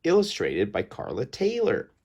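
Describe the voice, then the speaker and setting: Male children's storybook narrator, slightly husky, highly animated, expressive and theatrical with dramatic pauses. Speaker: storybook reader.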